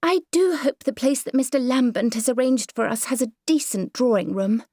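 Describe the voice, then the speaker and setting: A genteel Victorian mother played by a woman reader chatters in a high, airy English voice. She is fussy and pleased with herself, in storybook dialogue recorded close and dry. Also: moderate pace, a little mellow, dry recording. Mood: fussy, self-satisfied. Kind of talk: dramatised audiobook character dialogue.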